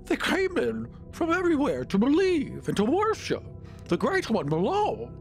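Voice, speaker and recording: Man acting as eager jellyfish monster, rambling, repetitive, swooping pitch, tabletop game, music behind. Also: wildly swooping pitch, moderate pace, creaky, exaggerated voice. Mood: dreamy, reverent. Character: doddering, eager, floating jellyfish monster, a flumph.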